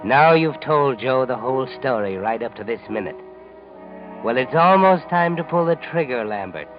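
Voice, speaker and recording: An eerie, knowing mystery narrator acted by a man needles his subject in an insinuating, mid-pitched voice. It is vintage radio drama, muffled, with music clearly underneath. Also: lively intonation, normal speed, close to an everyday voice. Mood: mocking, insinuating. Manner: insinuating, knowing, addresses the character directly. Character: sinister, mocking male omniscient narrator, needling a criminal.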